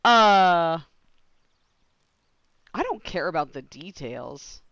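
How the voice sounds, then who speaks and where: A woman streaming a game, casually reacting and reading choices aloud in an expressive, irreverent, giggly way. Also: on the low side, extremely slow, dry recording, highs cut off.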